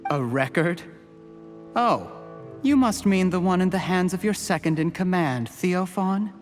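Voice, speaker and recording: A smooth, gloating villain. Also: male voice, high-pitched, a little slow, soft background music. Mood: smug. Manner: calm, menacing, drops to a hushed whisper. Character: scheming male official, smooth villain. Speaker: game character.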